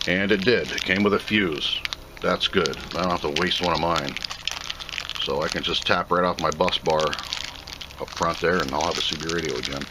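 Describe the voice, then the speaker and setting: A man's voice: very deep voice, very noisy. A plainspoken man giving an off-the-cuff, casual commentary as he reviews and unboxes a product.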